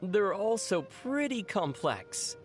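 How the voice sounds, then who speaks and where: An actor voicing a calm, teasing young adventurer in a video game, with a dry, knowing manner. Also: medium pitch, slow, faint music behind. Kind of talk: video game character voice acting.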